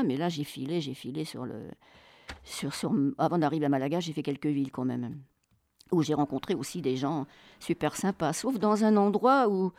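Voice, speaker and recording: Female interview guest, slightly low voice, dry close sound. Colloquial, expressive storyteller who acts out dialogue. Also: no room sound. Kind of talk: animated travel storytelling.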